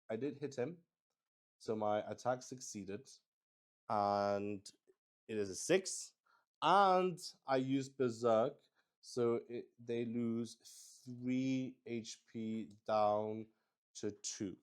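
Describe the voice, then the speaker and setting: A man with a clean, resonant voice narrates his solo tabletop game in a casual, improvised way. He takes his time, at a markedly slow pace, often halting to talk himself through each step. His pitch sits in an ordinary middle range for a man. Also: smooth. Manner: halting, thinking aloud, talking to himself. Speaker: host and player of a solo tabletop roleplaying game.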